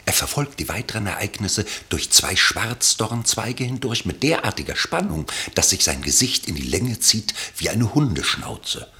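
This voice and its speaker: A man narrating a literary radio play from a script in an expressive, wryly elevated manner. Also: on the low side, moderate pace, few pauses.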